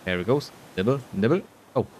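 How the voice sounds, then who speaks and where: A man's voice: fairly low pitch, animated, a little mellow, slight background noise, poor audio. A man giving casual, playful playthrough commentary on a video game, often musing to himself. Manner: playful, self-talking, drawn-out musing. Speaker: video game player and narrator.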